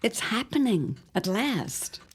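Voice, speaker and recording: A woman's voice: a little high, sing-song, slow pace, slightly rough, heavily acted. A woman playing a shrewd, scheming mother of a grown son in an audio drama, with a grand, theatrical, drawling delivery. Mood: exultant.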